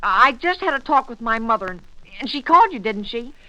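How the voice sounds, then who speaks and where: A man playing an awkward, eager American teenage boy with a breaking voice and halting delivery in a 1940s radio comedy. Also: very high voice, very animated, bright, forceful tone, heavily acted, low-quality recording. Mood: nervous bluster. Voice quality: adolescent breaking voice. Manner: halting, stammering, earnest. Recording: vintage 1940s radio broadcast.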